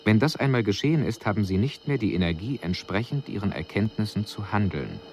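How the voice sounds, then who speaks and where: An alien envoy in a radio play, really deep voice, formal and detached, bit of hiss. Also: male voice, animated, a little mellow. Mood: sober, analytical. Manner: measured, analytical, formal. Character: male alien emissary, detached observer soberly reporting on humans. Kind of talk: scripted radio drama report.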